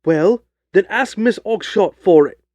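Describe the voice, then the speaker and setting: Blustering Victorian poultry seller, cocksure and combative, raised forceful delivery performed by a male narrator; storybook character. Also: high-pitched, lively intonation, unhurried, no room sound, dull audio, voice pushed up. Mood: furious. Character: surly, cocksure Victorian poultry salesman, quick to anger. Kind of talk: audiobook character voice.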